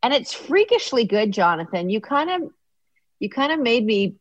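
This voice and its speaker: A woman's voice: on the high side, big pitch swings, moderate pace, no room sound, highs cut off. A woman hosting an interview, chatting and rambling into stories with her guest. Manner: chatty, warm-hearted, rambling storyteller. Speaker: podcast host. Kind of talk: casual interview chat.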